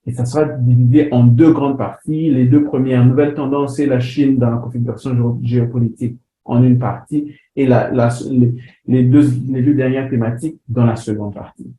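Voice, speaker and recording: Brief: man from DR Congo, very dark mellow voice, hesitant lecturer, roomy computer-mic sound. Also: mid-range voice, steady tone, moderate pace, muffled recording. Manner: hesitant, many fillers and restarts. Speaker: webinar presenter, editor. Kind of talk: online lecture presentation. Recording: roomy sound with faint background noise.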